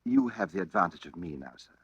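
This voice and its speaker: An actor playing a nervous, suspicious retired bank clerk, polite and hesitant, in an old-time radio thriller. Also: male voice, deep voice, big pitch swings, fast, gravelly, breathy edge, dry recording, dull audio, full character voice. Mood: polite, uneasy.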